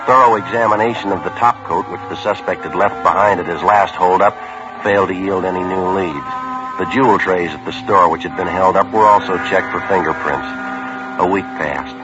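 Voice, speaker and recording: An actor playing a terse police sergeant who narrates in a flat, clipped, deadpan manner, in 1950s radio drama style. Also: male voice, low-pitched, lightly acted. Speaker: detective narrator. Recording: muffled old radio broadcast.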